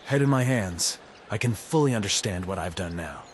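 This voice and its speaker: A man's voice: on the low side, animated, moderate pace, a little hiss or hum. A man reading first-person scripted narration in a brooding, sardonic manner. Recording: music under the voice. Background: music.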